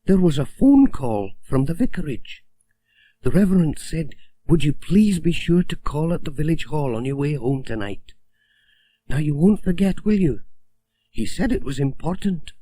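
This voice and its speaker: Guy doing a friendly village post office lady for a kids story, higher voice, chatty and naggy. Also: sing-song, normal speed, mellow, dry recording, poor audio. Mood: fussing, insistent. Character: friendly, fussing village postmistress, a woman. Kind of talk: children's storybook character voice.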